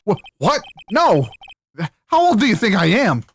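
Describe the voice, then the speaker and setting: A man's voice: high-pitched, huge pitch swings, measured pace, soft background music, voice pushed down, heavily acted. A man voicing a wry, easily exasperated adult male lawyer in video game dialogue. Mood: indignant. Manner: wry, incredulous.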